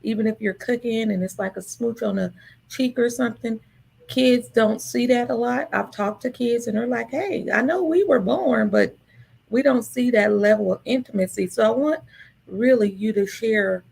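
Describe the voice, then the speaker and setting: A woman's voice: a little high, normal speed, vocal fry, darkish tone, husky, poor audio. A woman hosting an interview, affirming and conversational as she sets up questions for her guest. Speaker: host. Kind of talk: casual interview talk.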